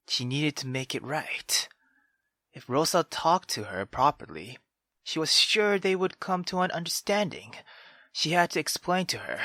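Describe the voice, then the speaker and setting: A man reading a visual novel aloud as dramatic narration, breathy and dropping into whispers. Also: fairly high pitch, pushed and bright, dry recording, clean studio sound.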